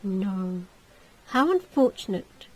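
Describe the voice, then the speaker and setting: A woman voicing a suave young marquis in a gothic visual novel, speaking with measured, teasing courtesy. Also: medium pitch, sing-song, low-quality recording. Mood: mock courtesy.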